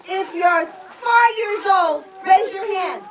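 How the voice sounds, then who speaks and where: A woman voicing an excitable, curious young dinosaur who haltingly questions children in a live puppet show. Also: very high voice, recorded from a distance. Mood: playful. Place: outdoor children's birthday party. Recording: noisy outdoor party, loud children around.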